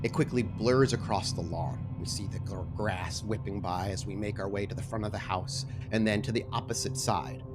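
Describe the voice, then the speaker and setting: A man's voice: fairly low pitch, fairly even intonation, moderate pace, hardly any pauses, over loud music. A man running a tabletop roleplaying game as game master, narrating scenes descriptively and conversationally.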